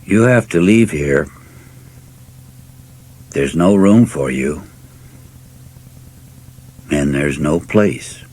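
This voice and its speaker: Man reading as a deep, gentle, compassionate father. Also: animated, breathy edge, full character voice. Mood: gentle, firm. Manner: slow, tender, deliberate.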